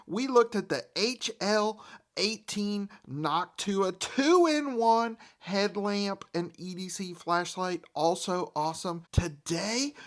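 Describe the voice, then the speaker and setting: A man's voice: high voice, a bit bright. Stuffed-up, upbeat male reviewer. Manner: upbeat, enthusiastic. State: congested, stuffy nose. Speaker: host. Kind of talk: product review.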